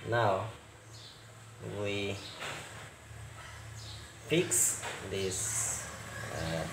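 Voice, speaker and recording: A man giving step-by-step repair instructions in halting, fragmented English with non-native phrasing. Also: lowish voice, animated. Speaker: repair technician, tutorial presenter. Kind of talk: step-by-step hands-on repair tutorial. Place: indoor repair workbench. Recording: roomy echo, clear background noise.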